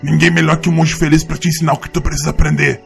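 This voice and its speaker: A man with a Brazilian accent voicing a rustic forest troglodyte in an audio drama, a gruff but fond mentor with a gravelly voice and plain, ungrammatical speech. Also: on the high side, little pitch movement, racing, faint music behind. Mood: fond farewell. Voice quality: gravelly, creaky. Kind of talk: audio drama character acting.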